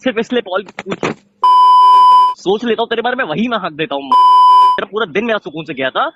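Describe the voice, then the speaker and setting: A man in a heated private argument on a recorded phone call, scolding aggressively and confrontationally. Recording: muffled low-fidelity phone call recording.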